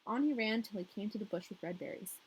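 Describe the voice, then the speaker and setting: A woman's voice: medium pitch, fast talker, husky, poor audio. A woman hosting a storytelling show, reading a fairy tale expressively with wry, casual asides.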